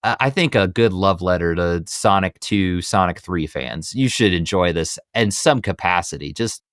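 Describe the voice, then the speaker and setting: A man's voice: deep voice, lively intonation, moderate pace, dry recording, clean studio sound. A man in his thirties hosting a podcast, chatting casually about films in a rambling, emphatic way. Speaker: podcast host. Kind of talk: casual film review chat.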